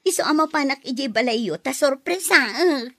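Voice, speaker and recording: A woman's voice: high voice, animated, moderate pace, fairly bright tone, no room sound, clean studio sound. An actress playing a cheerful young woman with an Ilocano accent who laughs often in a radio drama.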